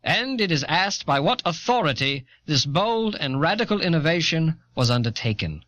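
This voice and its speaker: A male actor voicing a formal, argumentative eighteenth-century American statesman, declamatory and deliberate, for an audio documentary. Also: a little high, big pitch swings, a bit bright, no echo. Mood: earnest, argumentative. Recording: dull, dry cassette audio.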